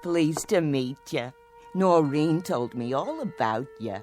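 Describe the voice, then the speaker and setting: A woman playing a slow-talking, sociable showgirl in a radio play. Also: low voice, animated, a little mellow, soft background music. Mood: friendly.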